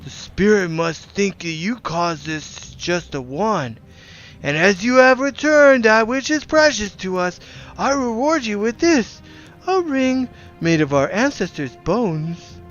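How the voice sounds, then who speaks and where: Guy doing a stoner game villager, kinda grand and snooty, drawn out, higher pitch, music behind. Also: lively intonation, takes it slowly, fairly bright tone, dull audio, full character voice. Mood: grudging respect. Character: slow, stoned male game villager, grudgingly impressed, haughty.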